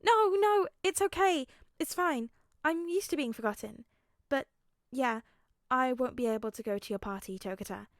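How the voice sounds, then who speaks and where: A woman voicing a kind, gentle, apologetic teenage boy hero in an earnest, reassuring way. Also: high voice, moderate pace, no room sound, clean studio sound, voice pushed up. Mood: apologetic, resigned.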